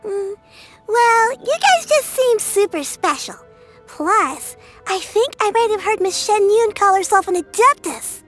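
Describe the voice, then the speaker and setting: Very high-voiced little girl. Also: expressive, a little slow, fairly bright tone, soft background music, exaggerated voice. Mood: hesitant, curious. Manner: hesitant, polite, childlike. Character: sweet, earnest little girl devoted to her granny. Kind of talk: video game voice acting.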